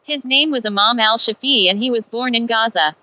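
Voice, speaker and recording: A woman's voice: on the high side, fairly quick, bright, forceful tone, no room sound. A woman reading prepared text aloud in a scripted style.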